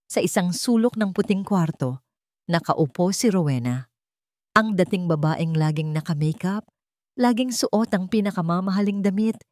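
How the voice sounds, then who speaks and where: A woman with a fairly low voice narrates a first-person drama for an audiobook. Her delivery is solemn and emotive, recorded dry with hardly any room sound. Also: a little mellow, no echo.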